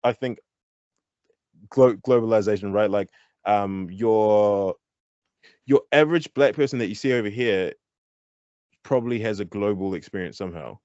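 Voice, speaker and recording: A man with a New Zealand accent, a hip-hop artist guesting on an interview, talking candidly with quick restarts and lively pitch jumps. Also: no room sound, muffled recording. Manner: animated, thinks aloud with many restarts. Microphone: online call.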